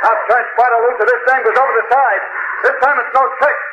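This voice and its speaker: A threatening gangster in a vintage radio thriller. His voice sits high and is hard and menacing, under heavy noise from the old recording. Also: fairly even intonation, quick pace, dull audio. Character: hard, threatening male gangster, ruthless train hijacker. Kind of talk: 1940s radio acting.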